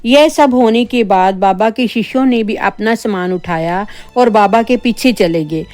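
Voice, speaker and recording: A woman's voice: medium pitch, unhurried, a little mellow. A woman narrating a scripted spooky tale for children as a storyteller. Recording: background music under the voice.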